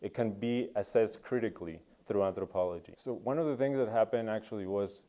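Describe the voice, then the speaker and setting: A man's voice: on the low side, a little fast, warm tone. A young man from Peru, a student talking through his research in an interview, thoughtful and full of ums and uhs. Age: young adult. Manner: reflective, many ums and uhs. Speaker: anthropology student.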